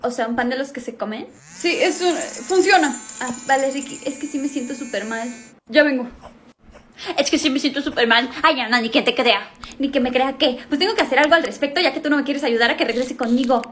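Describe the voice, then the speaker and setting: A young woman acting out a comedy sketch, switching quickly between characters in a small room at home. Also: high-pitched, fairly bright tone, slight background noise. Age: young adult.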